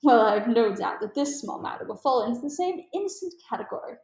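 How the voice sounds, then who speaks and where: A woman's voice: a little high, expressive, fast, a little mellow, roomy sound, natural voice. A woman voicing a keen, amused male detective with precise, formal and emphatic speech in a storybook read-aloud. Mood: animated, explanatory.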